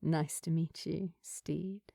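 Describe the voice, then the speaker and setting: Woman doing a low, cool, flirtatious female bartender. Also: fairly even intonation, takes it slowly, vocal fry, dark tone, no echo, clean studio sound, heavily acted. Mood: teasing. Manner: laid-back, confident, teasing. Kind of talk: audiobook character dialogue.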